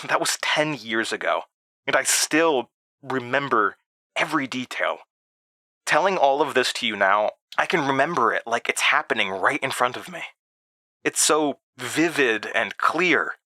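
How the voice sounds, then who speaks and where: A man's voice: medium pitch, animated, a little slow, bright, forceful tone, no echo, clean studio sound. An actor playing an uneasy man who recounts childhood memories in a confiding, hesitant audio drama monologue. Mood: troubled, wistful.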